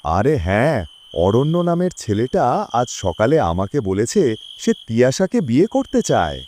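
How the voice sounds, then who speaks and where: Cartoon father male actor: earnest, protective, dark soft tone, expressive pitch. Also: a little slow, slight background noise. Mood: fond. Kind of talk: animated story dialogue.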